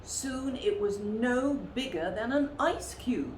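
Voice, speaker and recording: A woman reading a children's story aloud expressively, with theatrical, deliberate emphasis. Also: fairly high pitch, expressive, darkish tone. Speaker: storytime reader. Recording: roomy echo with faint background noise.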